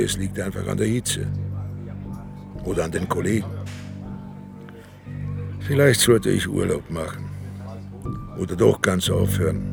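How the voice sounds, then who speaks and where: A man's voice: low-pitched, creaky, full character voice. A man playing an irritable, world-weary police inspector in a radio drama, with a grumbling, brusque manner. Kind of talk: radio drama, narration and dialogue.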